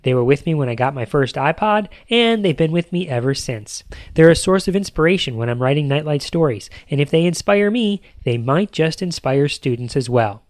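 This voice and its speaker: A man's voice: fairly high pitch, lively intonation, moderate pace, no echo, clean studio sound. An American man narrating a scripted podcast as host, with an emphatic, upbeat presenter delivery.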